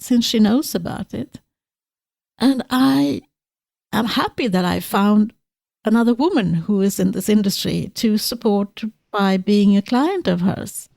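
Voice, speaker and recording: A Swedish-accented woman hosting a podcast, talking things over earnestly with a guest. Her voice is gravelly and mellow, and the sound is dry and close. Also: mid-range voice, no echo. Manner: earnest, reflective, frequent restarts. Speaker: podcast host. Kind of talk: interview conversation.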